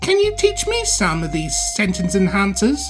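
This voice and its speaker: A man's voice: high-pitched, huge pitch swings, normal speed, music in the background, voice pushed up. A man voicing a cheerful, naive young male steam engine in a children's storybook, eager and exclaiming. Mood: curious, cheerful.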